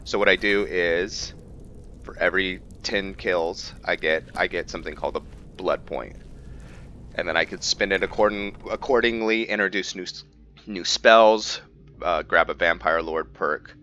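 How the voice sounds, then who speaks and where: A man's voice: low-pitched, measured pace, sharp tone, highs cut off. A man hosting a gaming video, giving casual let's-play commentary as he plays.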